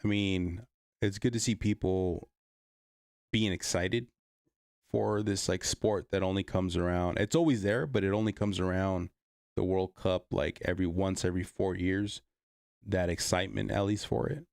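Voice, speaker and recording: A man's voice: sits low, steady tone, moderate pace, gravelly, a little mellow, no room sound, clean studio sound. A man from the Bay Area, hosting a casual livestream, reading chat and rambling with many fillers and restarts. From Bay Area, California.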